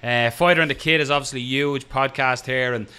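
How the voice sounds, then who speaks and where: A man's voice: mid-range voice, fairly even intonation, brisk, pushed and bright, smooth. A man hosting a podcast, putting listener questions to his guests with hesitant, filler-filled lead-ins. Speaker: podcast host.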